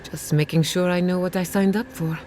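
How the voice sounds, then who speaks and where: A woman playing a confident, dryly witty scholar in a video game, measured and guarded. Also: fairly low pitch, fairly even intonation, a little mellow, slight background noise, lightly acted. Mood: light, hopeful. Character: driven, wry female scholar, guarded about family loss. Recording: music playing behind the voice.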